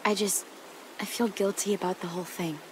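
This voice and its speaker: A woman playing a guarded, guilt-ridden teenage girl survivor in a video game, subdued, halting and sardonic. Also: medium pitch, fairly even intonation, noisy background, lightly acted. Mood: guilty, regretful. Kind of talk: naturalistic video game drama acting. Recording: clear outdoor nature ambience behind.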